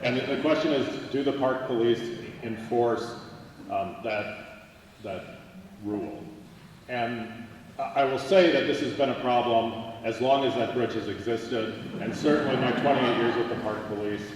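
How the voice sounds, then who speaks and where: A man in his fifties, a park police chief, answering an audience question at a community meeting in a measured, matter-of-fact way. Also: mid-range voice, slight background noise. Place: large meeting hall. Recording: echoey hall, far from the mic.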